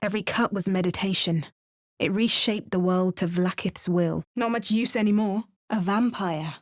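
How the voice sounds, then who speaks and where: A woman plays a wry female game adventurer at a mid-range pitch. She gives understated remarks and calm storybook narration. It is recorded close and dry in a studio. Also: normal speed, no echo, natural voice. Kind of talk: video game voice acting. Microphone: close studio mic.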